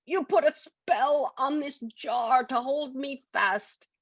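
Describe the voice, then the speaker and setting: A woman voicing a big, fat, greedy goblin who whines and shouts complaints in a children's storybook. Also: high-pitched, takes it slowly, breathy edge, dry recording, dull audio, voice pushed up, exaggerated voice. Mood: outraged, stuck.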